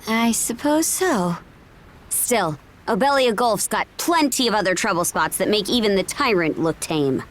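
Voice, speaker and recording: A woman's voice: mid-range voice, expressive, a little slow, slight background noise, lightly acted. Practical, confident young seafaring woman played by an actress. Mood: wry, practical. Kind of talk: video game cutscene dialogue.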